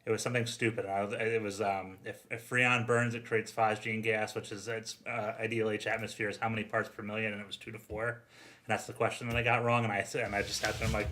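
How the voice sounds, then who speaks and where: Podcast host, man from New York, low bright voice, loose rambling chat. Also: little pitch movement, fast talker. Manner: casual, rambling, lots of "like".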